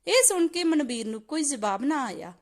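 A woman's voice: sits high, animated, moderate pace. A woman narrating a scripted moral story as a storyteller.

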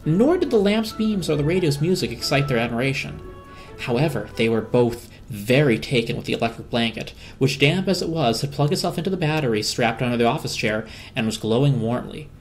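A woman narrating a children's storybook as an expressive dramatic reading. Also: a bass voice, fairly quick, soft background music.

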